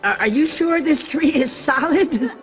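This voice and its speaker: Clumsy cartoon sloth, male: very high, swooping, whiny and theatrical. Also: animated, measured pace, a bit bright, faint music behind, exaggerated voice. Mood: reluctant.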